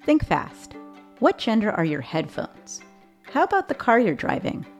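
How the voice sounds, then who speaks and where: A woman hosting a podcast, giving a clear scripted narration with light rhetorical questions. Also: fairly high pitch, huge pitch swings, measured pace, soft background music. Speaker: podcast host.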